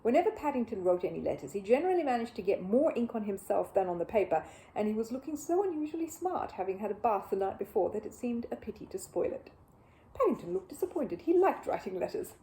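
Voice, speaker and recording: A woman reading a children's book aloud as an expressive storyteller. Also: mid-range voice, fast, a little hiss or hum, poor audio. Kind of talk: expressive storybook narration with a casual greeting.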